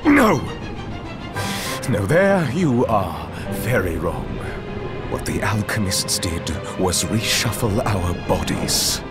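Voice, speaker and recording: A wronged, vengeful villain in a game drama. He speaks very slowly and theatrically, with bitter menace. Music is clearly heard underneath. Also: fairly low pitch, big pitch swings. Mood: indignant. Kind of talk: dramatic video game voice acting.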